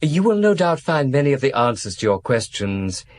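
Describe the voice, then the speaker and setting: An actor playing a guarded, formal aristocratic man in a radio drama, speaking in precise, measured phrases. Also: mid-range voice, sing-song, close to an everyday voice. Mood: formal, discreet.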